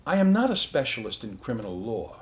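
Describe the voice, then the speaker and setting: An actor playing a formal, guarded male attorney giving a measured, carefully worded statement in a crime drama. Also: mid-range voice, big pitch swings. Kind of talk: acted formal statement to investigator.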